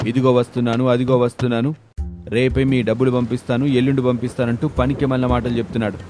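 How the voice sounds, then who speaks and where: A man's voice: mid-range voice, little pitch movement, fast, over music, lightly acted. A man voicing a harsh, confrontational moneylender in a dramatic storybook tale. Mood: angry, sarcastic. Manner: bullying, sarcastic, confrontational. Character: loud harsh male moneylender demanding repayment.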